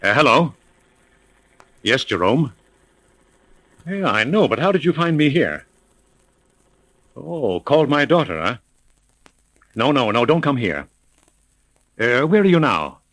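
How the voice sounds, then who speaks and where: Worried rich dad in 30s radio mystery, clipped n urgent, pitch moves a lot. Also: male voice, very animated, slow, pauses often, a bit bright, full character voice, rough recording. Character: wealthy, anxious father threatened with death. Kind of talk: 1930s mystery radio serial acting.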